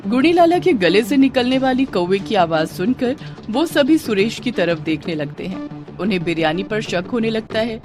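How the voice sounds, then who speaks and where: A woman's voice: fairly high pitch, lively intonation, normal speed, over music. A woman narrating a scripted moral tale as a storyteller.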